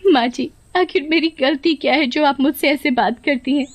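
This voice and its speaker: A meek young cartoon wife, played by a woman narrator. Her voice is high and plaintive, pleading and close to tears. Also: moderate pace, natural voice. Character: meek, mistreated young daughter-in-law, tearful.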